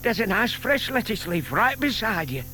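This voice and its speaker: An actor playing a gentle, fond older man in an audio play, murmuring to himself and to animals. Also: high-pitched, sing-song, moderate pace, a little hiss or hum, heavily acted. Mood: careful, coaxing. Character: gentle, fond old neighbour man scheming kindly with tortoises. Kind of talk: audio theatre dramatisation.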